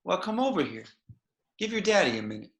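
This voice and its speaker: A man playing a controlling, possessive middle-aged father in a home table read, speaking in a low, creeping, threatening way in an echoey room. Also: big pitch swings, fairly quick. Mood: menacing. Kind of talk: amateur table read over video call.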